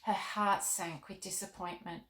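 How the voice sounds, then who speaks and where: A woman hosting a children's storytime and reading aloud, expressive and speaking directly to young listeners. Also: mid-range voice, unhurried. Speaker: children's show host and author reading her book. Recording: roomy, echoing indoor sound.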